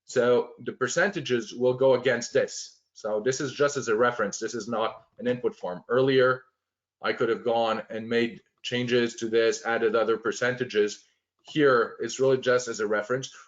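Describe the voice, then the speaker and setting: A man walking through planning software on a shared screen, explaining step by step with frequent fillers and restarts. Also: mid-range voice, steady tone, normal speed, dull audio. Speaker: software trainer.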